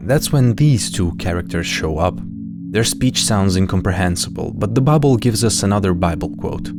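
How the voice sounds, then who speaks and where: A man's voice: fairly low pitch, lively intonation, moderate pace. A man giving scripted video commentary as a host, with casual, wry asides. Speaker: host of a horror series breakdown video. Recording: music behind the voice.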